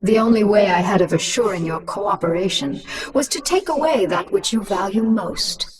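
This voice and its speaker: A woman's voice: medium pitch, expressive, distant mic, natural voice. Smug, ruthless alien queen played by a woman. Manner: smug, mocking, deliberate. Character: ruthless alien hive queen, cunning, gloating, smug and taunting. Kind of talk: dramatic sci-fi game voice acting.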